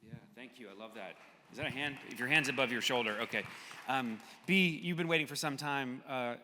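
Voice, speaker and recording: Bright-voiced man moderating in an echoey hall. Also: medium pitch, a little fast, a little hiss or hum. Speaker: host moderating an audience discussion. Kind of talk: spontaneous discussion moderating. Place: large hall at a live public event. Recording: echoey hall with long reverb.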